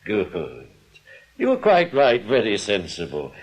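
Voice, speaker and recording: A man playing a smooth, domineering English bank manager in a radio drama, with a condescending, clubby manner. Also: fairly low pitch, huge pitch swings, takes it slowly, full character voice. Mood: smoothly placating. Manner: condescending, old-school clubby address. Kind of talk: British radio drama acting.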